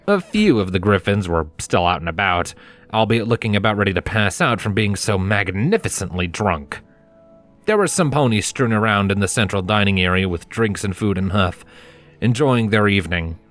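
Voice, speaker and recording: Low-voiced man narrating a story. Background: music.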